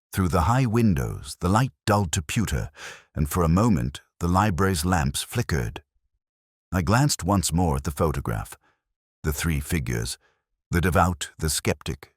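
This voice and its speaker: A man narrating a literary detective story in the first person, in a measured, wry manner as a pensive police detective. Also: deep voice, dry recording, studio quality.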